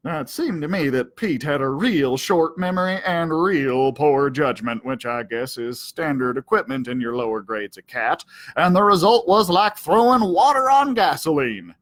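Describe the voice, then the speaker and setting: Man as a boastful, folksy ranch dog, very lively. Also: fairly high pitch, moderate pace. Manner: self-important, theatrical. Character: boastful, folksy male ranch dog telling his adventures. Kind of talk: first-person storybook narration.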